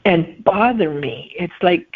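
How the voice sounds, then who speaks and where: A middle-aged woman with a gravelly, creaky voice, talking as a guest author. She answers in an unhurried, thoughtful way and often pauses to find her words. The sound is thin and phone-like. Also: fairly low pitch, lively intonation. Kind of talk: conversational interview answers. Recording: phone-like remote line.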